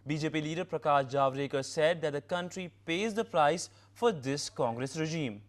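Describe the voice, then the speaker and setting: A male news anchor with an Indian accent reads from a script. His voice is pitched a little high for a man. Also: normal speed.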